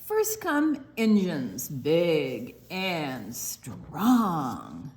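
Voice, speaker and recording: Older woman reading slowly, very lively pitch. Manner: expressive, drawn-out reading with explanatory asides. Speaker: storytime reader. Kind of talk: read-aloud picture book storytime for young children with asides.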